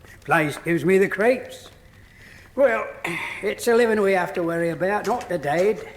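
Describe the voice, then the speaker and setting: A jumpy grumbly bloke, radio drama, slowish, bit high, faint clicky noise. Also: male voice. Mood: uneasy, grumbling. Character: uneasy, grumbling working-class morgue visitor. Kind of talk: full-cast radio drama acting.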